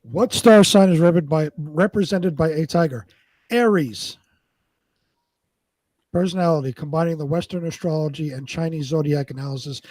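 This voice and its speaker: A man's voice: on the high side, moderate pace, a little mellow, no room sound, low-quality recording. A man bantering casually as a quiz contestant, disputing rulings in a matter-of-fact way.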